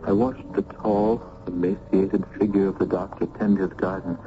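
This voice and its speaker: An actor playing an earnest, courteous young Italian gentleman student in a radio drama, speaking formally and a little hesitantly. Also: sits low, little pitch movement, moderate pace. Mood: awed narration. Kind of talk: 1970s radio drama acting and narration. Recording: noisy, phone-like old radio broadcast.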